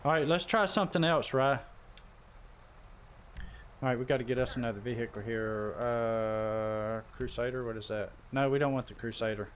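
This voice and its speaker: Male game streamer, casual chat with teammates and viewers, average pitch, slight vehicle noise in the background. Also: moderate pace. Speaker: live streamer and gamer. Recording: noisy game-stream audio, vehicle sounds behind.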